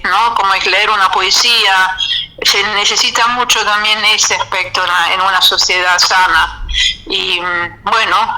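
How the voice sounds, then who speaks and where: Bright-voiced woman, slow and hesitant. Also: medium pitch, rough recording. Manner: measured, hesitant with many fillers and restarts. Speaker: guest. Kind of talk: radio interview, reflective. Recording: radio studio.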